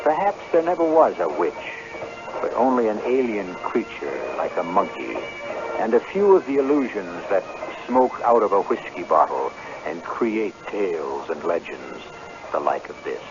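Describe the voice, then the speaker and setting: Lowish, very expressive male radio host. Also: normal speed. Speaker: host and narrator. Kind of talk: dramatic scripted radio narration. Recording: muffled old radio broadcast. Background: music.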